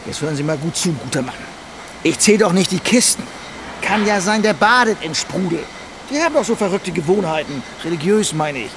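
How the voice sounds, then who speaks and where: An actor playing a grumpy, prejudiced male shopkeeper in a radio play, gruff and hesitant. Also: sits high, lively intonation, brisk. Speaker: drinks shop owner. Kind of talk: radio drama acting. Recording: radio play with street noise.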